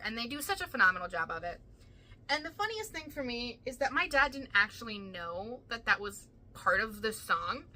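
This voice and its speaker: A woman's voice: fairly high pitch, pushed and bright. A woman hosting a ranking video, giving fan commentary in an enthusiastic, emphatic manner.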